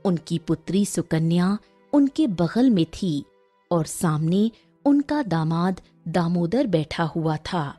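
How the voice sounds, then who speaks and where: A woman's voice: on the low side, expressive, slow, mellow, soft background music. A woman narrating a scripted story as a storyteller.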